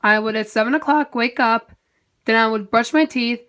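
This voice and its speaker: A young woman talks straight to her viewers in a chatty, upbeat way. Her voice is high and bright, with a nasal, congested sound from a head cold. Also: normal speed. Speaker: vlogger. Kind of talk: casual talk to camera.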